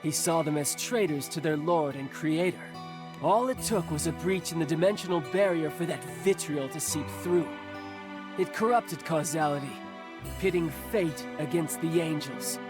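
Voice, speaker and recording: A woman's voice: low voice, moderate pace, lightly acted. A woman voicing a grandiose divine emissary in a video game, declaiming in lofty, archaic, theatrical phrases. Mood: solemn, grandiose. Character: grandiose divine emissary Primal, theatrical, exuberant, young-sounding. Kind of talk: scripted video game dialogue. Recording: orchestral music behind the voice.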